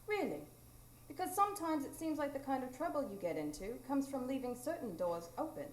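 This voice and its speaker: A woman's voice: high-pitched, sing-song, fairly quick. A woman playing a feisty, plain-spoken landlady who scolds in a motherly, colloquial way, in a live radio play. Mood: nosy, chiding. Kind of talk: live radio comedy-drama acting. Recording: echoey live performance room.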